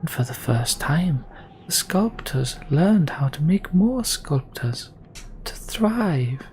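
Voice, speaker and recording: Man putting on a high, hushed, theatrical storyteller woman. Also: big pitch swings, slow, a little hiss or hum. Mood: theatrical, knowing.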